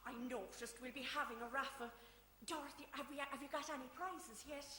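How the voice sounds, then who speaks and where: A woman's voice: sits high, brisk, pushed and bright. A woman playing an outspoken, complaining committee member in a live stage play. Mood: chatty. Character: chatty, grumbling woman on a community committee. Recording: noisy echoey hall, live performance.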